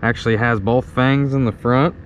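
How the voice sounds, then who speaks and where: A man's voice: mid-range voice, fairly even intonation, normal speed, highs cut off, slight background noise. A man giving casual live fishing commentary to viewers, marveling and repeating his phrases. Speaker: fishing vlogger. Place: outdoors on a kayak on a creek.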